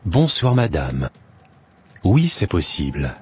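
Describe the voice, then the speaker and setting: A man's voice: sits low, wildly swooping pitch, slow, a little mellow, soft background music, exaggerated voice. A man playing a formal, matter-of-fact male fortune-teller who sells love spells in a cartoon. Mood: businesslike. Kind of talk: scripted cartoon dialogue.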